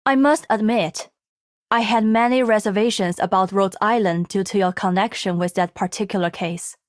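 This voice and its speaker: A woman's voice: medium pitch, steady tone, normal speed, dry recording, studio quality, natural voice. A woman voicing a formal, reserved and earnest investigator in a video game. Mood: formal, sincere. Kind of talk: video game character voice acting.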